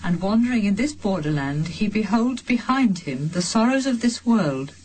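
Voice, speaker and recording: A woman reading a sacred text as a solemn audiobook, with measured, deliberate phrasing and pauses between phrases. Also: medium pitch, animated, a little mellow, a little hiss or hum, poor audio. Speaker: reader of a sacred text translation.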